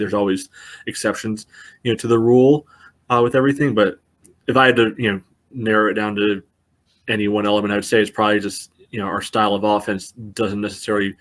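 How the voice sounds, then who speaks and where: Man, low voice, monotone delivery, halting, filler-heavy casual sports analysis, podcast co-host. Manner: halting, peppered with "you know".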